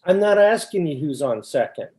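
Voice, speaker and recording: A performer acts out a confused, increasingly exasperated questioner in a stage comedy double act. The voice sits at a middle pitch and pushes insistently through each question. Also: lively intonation, normal speed, dark tone, close to an everyday voice. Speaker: amateur readers theater performer. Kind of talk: staged comic dialogue reading.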